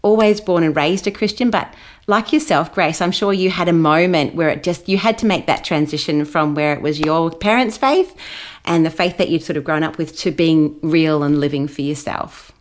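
A chatty woman talking as a guest in a relaxed interview. Her voice sits a little low, and she talks in an animated way and laughs easily. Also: normal speed. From New Zealand, raised in Australia. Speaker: guest, children's Bible story host. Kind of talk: casual interview chat.

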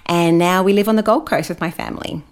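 A woman's voice: fairly low pitch, fast talker. A woman, born in New Zealand, chatting as an interview guest and laughing often. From New Zealand, raised in Australia. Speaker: guest, children's Bible story host. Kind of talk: casual interview chat.

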